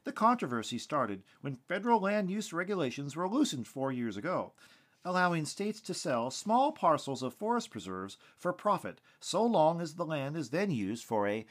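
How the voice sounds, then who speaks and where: A man's voice: a little high, sing-song, moderate pace, voice pushed up. A man voicing a female TV field reporter who reports in a serious, concerned and emphatic way. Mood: earnest, concerned. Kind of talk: TV news report.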